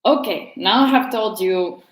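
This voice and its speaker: A woman with a Latvian accent, a tarot teacher talking gently and unhurriedly in a casual vlog, sometimes pausing to find her words. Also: medium pitch, reverberant, rough recording.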